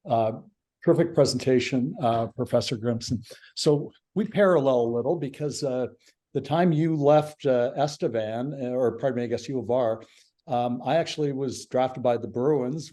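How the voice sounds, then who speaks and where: Mellow-voiced man asking a question. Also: medium pitch. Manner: friendly, filler-heavy. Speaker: audience member asking a question. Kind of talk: asking a question on a video call.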